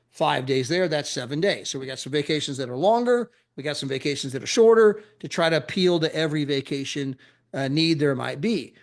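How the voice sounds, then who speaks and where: Middle-aged American man, lively promoter, rapid-fire destination lists, slightly high voice. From USA. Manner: animated, repetitive, chuckling asides. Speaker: travel club promoter. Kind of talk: enthusiastic sales pitch.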